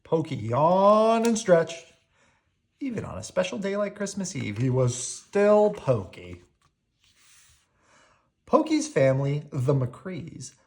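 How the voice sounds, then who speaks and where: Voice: mid-range voice, a little mellow. A storyteller reading a children's picture book aloud expressively, drawing out key words.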